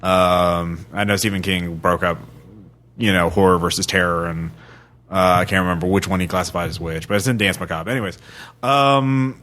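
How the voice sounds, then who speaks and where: A man hosting a podcast in loose, unscripted conversation, often halting as he finds his way through a thought. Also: sits low, fairly even intonation, a bit bright. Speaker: podcast host. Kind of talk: casual unscripted podcast chat.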